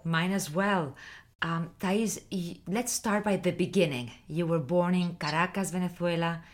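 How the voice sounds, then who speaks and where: A woman's voice: lowish voice, measured pace. A woman hosting her own interview show, asking questions in an encouraging way. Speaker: talk show host.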